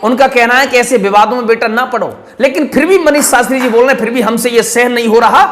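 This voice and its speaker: A man's voice: extremely high pitch, fast, fairly bright tone, a little hiss or hum. A man hosting a channel, giving emphatic spoken commentary to his viewers and repeating his points. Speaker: channel host.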